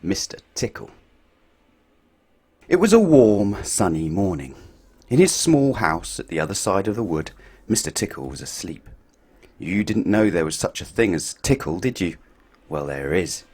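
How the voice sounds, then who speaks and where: Lower-voiced man reading a children's story. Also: normal speed, long pauses, breathy edge. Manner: expressive, playful storytelling with big swings in pitch and loudness. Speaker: children's book reader. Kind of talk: reading a picture book aloud to children.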